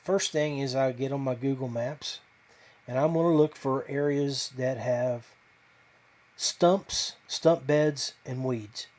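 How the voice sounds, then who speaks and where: A man with a mid-pitched voice hosts a podcast in a casual, unscripted way. He thinks out loud, hesitating as he goes, and comes across as modest and self-deprecating. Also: takes it slowly. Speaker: podcast host. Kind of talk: casual fishing talk to viewers.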